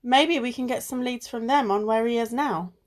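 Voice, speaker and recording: A sensible woman sleuth played by an amateur actress in a radio play. Her voice sits fairly high, and she chides and teases like the long-suffering one in charge. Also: moderate pace, natural voice. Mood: businesslike. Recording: recorded at home in isolation.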